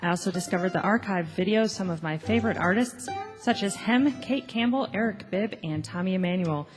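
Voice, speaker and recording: A woman reads scripted radio copy at a mid-range pitch. She presents as the show's announcer, with music clearly playing behind her. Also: a little fast. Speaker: announcer and listener-mail reader.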